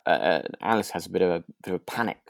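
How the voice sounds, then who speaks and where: Guy on a chat podcast, deep creaky voice, kind of hesitant, working it out as he goes. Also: expressive, rapid-fire, dry recording, clean studio sound. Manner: hesitant, tentative, thinking aloud. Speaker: podcast co-host. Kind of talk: relaxed casual discussion of a radio drama.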